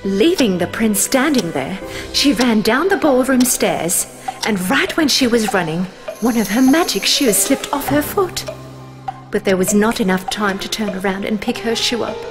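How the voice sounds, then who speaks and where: Female narrator, fairly high voice, very expressive intonation, scripted fairy tale, music behind. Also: moderate pace.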